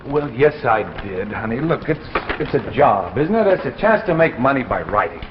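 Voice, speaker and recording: A man's voice: medium pitch, very animated, normal speed, close to an everyday voice. Man acting restless struggling writer husband, voice swings all over, noisy old 50s broadcast. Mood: defensive. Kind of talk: 1950s anthology drama acting. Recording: muffled, noisy 1950s broadcast recording.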